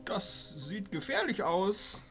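A man's voice: high-pitched, sing-song, slow, gravelly, a bit bright, soft background music, exaggerated voice. An actor playing a timid, eager henchman, hesitant and naive, deferring to his boss. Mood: wary. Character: timid, eager male henchman of a shadow master.

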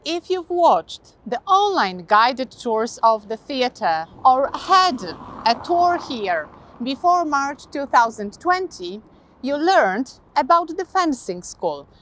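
A woman in her thirties with a Polish accent, giving a scripted historical presentation outdoors to camera on a lapel mic, in a measured, deliberate way. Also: high-pitched, a bit bright. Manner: measured, slow, deliberate delivery. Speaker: guide. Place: outdoors by a modern building.

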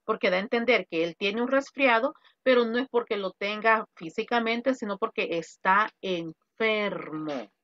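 A woman's voice: medium pitch, normal speed, hardly any pauses. A woman teaching an online English grammar class, explaining patiently and quizzing her students. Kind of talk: online grammar lesson in Spanish. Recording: dull, dry video-call audio.

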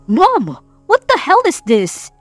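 A woman's voice: high voice, wildly swooping pitch, slow, a bit bright, soft background music, voice pushed up, exaggerated voice. A woman voicing an irritated, incredulous rich man in his late twenties in an audio romance story, curt and indignant.

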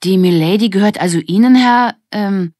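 A woman's voice: mid-range voice, normal speed, warm tone, no room sound, clean studio sound, pitched above the performer's own voice, lightly acted. A woman voicing a bold, curious young girl in a children's audiobook, speaking eagerly and emphatically. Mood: indignant.

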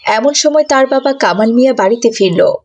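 High-voiced woman narrating a children's story. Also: lively intonation, brisk, a little mellow.

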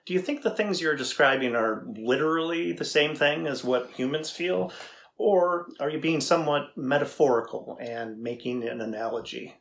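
A middle-aged man playing a thoughtful AI researcher in a dramatized interview, deliberate and conversational as he probes. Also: on the high side, gravelly, a bit bright. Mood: probing, thoughtful. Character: thoughtful male AI researcher questioning a machine. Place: indoor room with backdrop, seated.

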